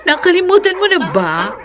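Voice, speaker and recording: Brazen, high-pitched Filipina mistress. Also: female voice, highs cut off, exaggerated voice. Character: brazen, flirtatious mistress, haughty and needling. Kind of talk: radio drama acting.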